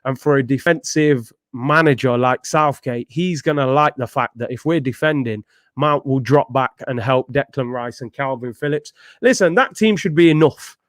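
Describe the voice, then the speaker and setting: A man's voice: medium pitch, normal speed, fairly bright tone, no room sound, clean studio sound. A young man hosting a casual football chat, talking in a slangy, conversational way. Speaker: football channel host.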